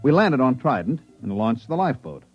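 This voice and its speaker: An actor playing a wry, skeptical planetary surveyor in a radio drama, narrating and talking in a dry, conversational way. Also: male voice, mid-range voice, very animated, brisk, faint music behind, natural voice. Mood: dry narration. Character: wry, skeptical male planetary surveyor telling his misadventure. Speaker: narrator and lead character. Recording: muffled old radio drama recording.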